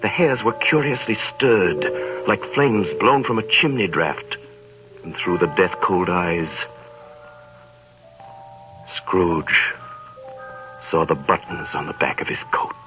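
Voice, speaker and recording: A man narrates a radio drama in a measured, storytelling voice that sits fairly low. Clear music plays behind him. The sound is the dull tone of a 1930s radio broadcast.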